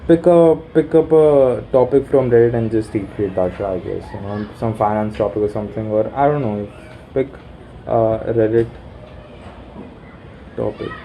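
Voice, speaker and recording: A young man with a deep voice rambling through his plans aloud, halting and swearing casually, recorded on his phone while sitting in a room corner. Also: dark tone, slight background noise, low-quality recording. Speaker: vlogger. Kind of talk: rambling self-talk planning.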